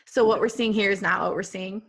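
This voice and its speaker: A woman's voice: medium pitch, fairly even intonation, brisk. A woman co-hosting a podcast, chatting casually and playfully with her friends. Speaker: podcast co-host. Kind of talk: casual chat.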